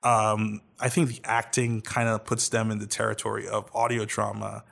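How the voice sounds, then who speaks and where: A man's voice: fairly low pitch, monotone, normal speed, vocal fry, fairly bright tone. A man co-hosting a podcast, talking casually and thoughtfully, often restarting his sentences as he searches for words. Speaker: podcast co-host.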